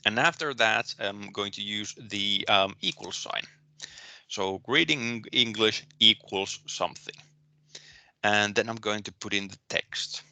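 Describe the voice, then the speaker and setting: A man with a Finnish accent giving a recorded programming lecture, pausing often with ums and restarting his sentences. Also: on the low side, sharp tone, dry recording. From Finland. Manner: hesitant, many ums and restarts. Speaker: university lecturer.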